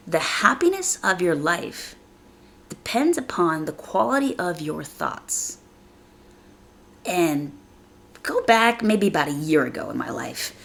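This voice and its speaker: A woman hosting a casual motivational podcast, speaking conversationally and earnestly, slightly raspy from a chest cold. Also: lowish voice. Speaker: podcast host.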